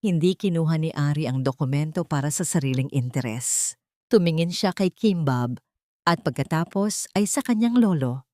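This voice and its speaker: A woman with a fairly low voice narrates a scripted romantic drama. The delivery is that of a dramatic storyteller, and the sound is close and dry. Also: expressive, moderate pace, warm tone, no echo.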